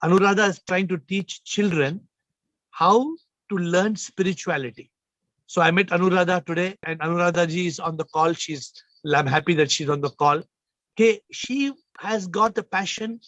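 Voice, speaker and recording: A man with a fairly high voice leads a spiritual talk over a video call. He is emphatic, repeats himself and puts questions to listeners. The sound is dry and a little muffled. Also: moderate pace, fairly bright tone, no room sound. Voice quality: slightly low, subdued voice today. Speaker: spiritual teacher hosting a call.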